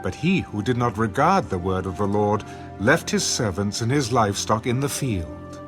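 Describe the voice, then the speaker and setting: A man narrating dramatized scripture in a measured, solemn storytelling manner. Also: lowish voice, animated, over music.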